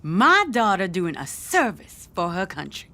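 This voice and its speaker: A brash, slow-talking mother. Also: lowish voice, sing-song, sharp tone, exaggerated voice. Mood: proud, cheery. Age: middle-aged. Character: brash, eager, proud working-class mother and sister. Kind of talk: naturalistic audio drama acting.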